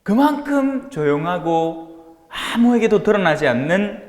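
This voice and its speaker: Animated Gyeongsang-accented priest in an echoey hall. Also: male voice, high-pitched, unhurried. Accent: Gyeongsang Korean. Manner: jocular, colloquial, rhetorical questions to listeners. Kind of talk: informal religious lecture to a small group. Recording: echoey hall with long reverb.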